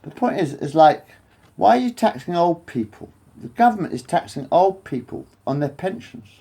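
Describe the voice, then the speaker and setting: A man's voice: fairly high pitch, big pitch swings, moderate pace, vocal fry, dark tone, breathy edge. A man in his late forties rambling to camera, repeating himself, swearing and wandering off topic. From England. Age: 40s. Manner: repetitive, swears often, digresses. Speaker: vlogger.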